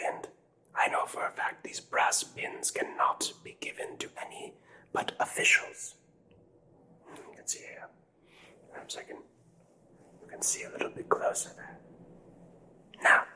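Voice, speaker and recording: A man's voice: bright, forceful tone, rough recording. A man playing a nervous, courteous doctor in a whispered ASMR mystery roleplay, speaking in formal, hesitant phrases. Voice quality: breathy whisper. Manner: formal, hesitant, old-fashioned polite phrasing. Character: nervous, courteous, perplexed doctor aiding a murder investigation.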